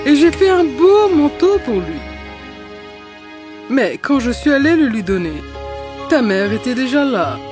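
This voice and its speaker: A woman voicing a caring, gentle aunt in a dubbed animated fairy tale. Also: sits high. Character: caring aunt consoling her jealous young niece.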